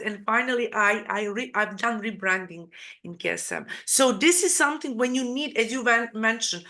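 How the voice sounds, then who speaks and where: A woman hosting a podcast interview, a non-native English speaker who talks in halting phrases, repeats words and says "you know" a lot. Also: on the high side, sharp tone. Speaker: podcast host. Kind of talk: casual interview chat.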